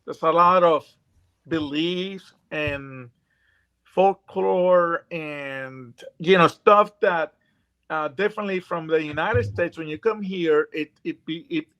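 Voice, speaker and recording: A man's voice: fairly high pitch, a bit bright, dull audio. Puerto Rican-accented man on a video call. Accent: Puerto Rican Spanish. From Puerto Rico. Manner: earnest, halting with many fillers. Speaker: guest. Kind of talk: casual video call interview.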